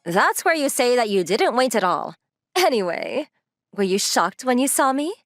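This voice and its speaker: Woman voicing a bossy young girlfriend, high and animated. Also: normal speed, no echo, studio quality, soft background music. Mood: teasing, confident. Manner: assertive, blunt, playfully demanding. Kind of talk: manga dub voice acting.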